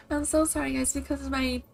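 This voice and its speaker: A woman's voice: sits high, level tone, normal speed, gravelly, slight background noise. A woman from Brazil with a Brazilian accent, whose first language is Portuguese, chatting casually and rambling while streaming a game. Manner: chatty, rambling, many likes and kinda. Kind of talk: casual live-stream chat. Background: faint game music.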